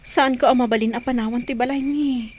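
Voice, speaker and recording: A woman's voice: on the high side, fairly even intonation, brisk, a little mellow, slight background noise, lightly acted. An actress with an Ilocano accent playing a devoted, hesitant young woman in love in a radio drama, sounding anxious.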